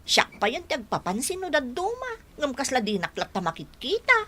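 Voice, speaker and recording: A woman's voice: high-pitched, wildly swooping pitch, fairly quick, bright, forceful tone, slight background noise, exaggerated voice. A woman acting a giddy, girlish young woman swooning over her crush in a radio drama. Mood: coy. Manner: gushing, girlish.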